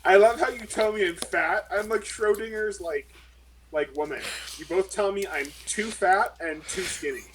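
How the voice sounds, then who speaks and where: A man's voice: high voice, moderate pace, a bit bright, a little hiss or hum, low-quality recording. A man playing a tabletop roleplaying game, answering with sarcastic, reluctant banter.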